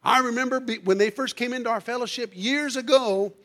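High-voiced preacher man, going hoarse. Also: brisk, bright, forceful tone. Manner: fervent, peppers every phrase with "amen" and "hallelujah"; calls out to the congregation. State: losing his voice. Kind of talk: sermon. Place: church sanctuary.

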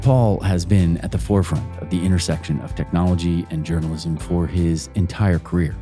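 A man hosting a podcast, reading a scripted introduction in a measured way, then asking interview questions. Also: low-pitched, warm tone. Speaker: podcast host.

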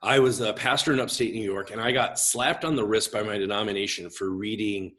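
Expressive big-voiced man in his forties. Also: lowish voice. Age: 40s. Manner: expressive, gregarious, halting with self-interruptions. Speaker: guest, pastor. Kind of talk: reflective personal storytelling in conversation.

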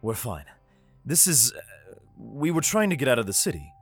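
A man's voice: medium pitch, sing-song, moderate pace, soft background music. A man playing a desperate, protective father fleeing underground in an audio drama, who also narrates in the first person. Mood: shaken.

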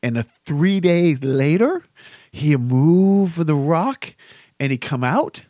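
A man's voice: on the high side, expressive, warm tone, no echo, clean studio sound. A man doing an earnest Portuguese-accented man. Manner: slow, earnest broken English.

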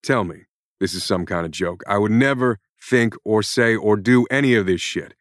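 A man voicing a gruff male action hero who rants and swears angrily at his author. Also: on the low side, moderate pace, no room sound, clean studio sound, pitched above the performer's own voice, natural voice. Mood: furious. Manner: ranting, swearing, scolding the author.

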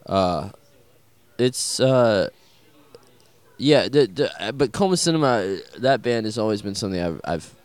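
A man with a Southern US accent, a musician answering interview questions in a loose, halting way, full of fillers and restarts. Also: on the low side, expressive, clean tone, no echo. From American South. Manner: rambling, halting, many fillers and restarts, swears casually.

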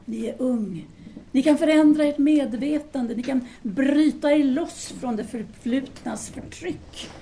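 An actress playing an assertive, intellectual 1930s feminist in an audio drama, speaking formally and emphatically as if lecturing. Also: female voice, high-pitched, warm tone, slight background noise. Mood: urging. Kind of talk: Swedish audio drama dialogue.

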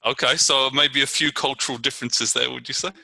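A man from England, hosting a podcast in a relaxed, chatty way with frequent fillers. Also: medium pitch, brisk, vocal fry, dry recording. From Brighton, England. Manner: relaxed, filler-heavy questions. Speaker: podcast host.

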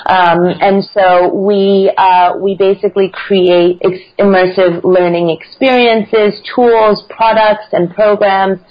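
A woman's voice: medium pitch, level tone, takes it slowly, hardly any pauses. An American-born woman guest on a podcast, talking about her work in an upbeat, fluent way with frequent ums. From United States. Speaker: guest, learning-design entrepreneur. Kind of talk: casual interview chat. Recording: dull lo-fi sound.

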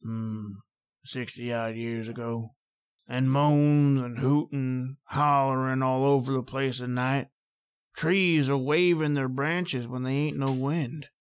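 A man's voice: mid-range voice, steady tone, takes it slowly, smooth, close to an everyday voice. An actor playing a garrulous, superstitious old country man with a rural American accent, telling local legends in a rambling folksy way in an old-time radio drama. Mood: spooked, folksy. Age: older. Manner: folksy, rambling, emphatic dialect speech.

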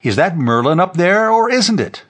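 A man voicing a loud, combative man in an audiobook, bellowing blunt challenges. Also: high-pitched, sing-song, normal speed, pitched above the performer's own voice, full character voice. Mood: challenging. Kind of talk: audiobook character voice.

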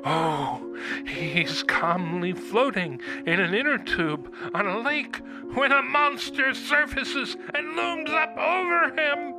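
Male actor as wondering dream watcher, very high voice, unhurried radio-play delivery, music underneath. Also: gravelly, full character voice. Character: wondering onlooker describing sleepers' dreams. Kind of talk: radio drama narration.

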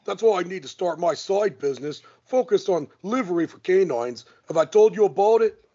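A man's voice: high-pitched, lively intonation, a little fast, warm tone. A man playing a self-important, condescending, scheming pro wrestler in a comedic audio play. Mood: smug. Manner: smug, condescending. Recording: dull lo-fi audio.

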